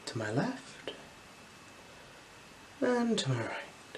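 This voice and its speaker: A gentle, unhurried ASMR performer speaking softly and slipping into whispers while role-playing an eye examiner. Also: mid-range voice, sing-song. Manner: gentle, unhurried, soothing instructions. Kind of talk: ASMR role-play, soft-spoken with whispers. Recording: clear background noise.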